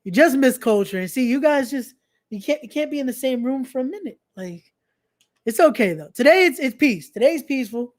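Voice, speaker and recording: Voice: sits high, animated, fairly quick. A young adult podcast host chatting casually on a live stream, promoting events and often repeating phrases with informal, slangy asides.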